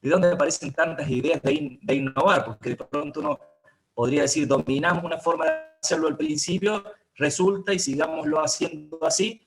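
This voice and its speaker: A man moderating a conversational interview, building long questions haltingly and repeating words as he searches for phrasing. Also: fairly high pitch, very animated, creaky, over music, low-quality recording.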